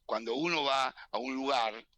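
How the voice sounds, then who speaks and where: A man's voice: mid-range voice, a little slow, pushed and bright, no room sound. A man hosting an interview, reasoning aloud as he comments on his guest's answers.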